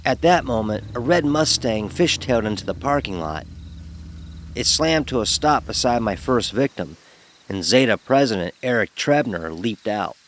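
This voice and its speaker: A man telling a first-person horror story in a dramatic, expressive narrating style. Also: medium pitch, moderate pace. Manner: expressive, acts out dialogue.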